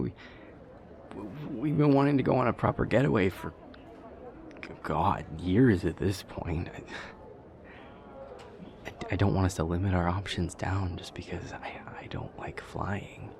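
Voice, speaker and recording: A man playing a nervous vampire boyfriend who is afraid of flying, speaking haltingly with stammers in an intimate audio roleplay. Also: sits low, vocal fry, darkish tone. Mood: earnest, stubborn.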